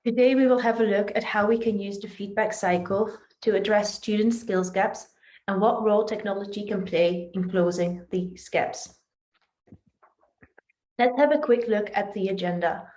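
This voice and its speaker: A woman with a Dutch accent delivers a prepared online presentation. Her pitch sits in the middle range for a woman. The recording is roomy, with clear echo around her voice. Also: fairly even intonation, moderate pace, darkish tone. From Netherlands. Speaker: company territory manager presenting. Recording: roomy, echoing voice.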